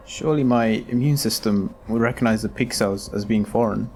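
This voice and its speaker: A man's voice: fairly low pitch, brisk, darkish tone, a little hiss or hum, close to an everyday voice. A man playing a wary, ailing patient with a cough who questions his options in an amateur audio drama. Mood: doubtful, wary. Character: dying man anxious to prolong his life, wary and questioning.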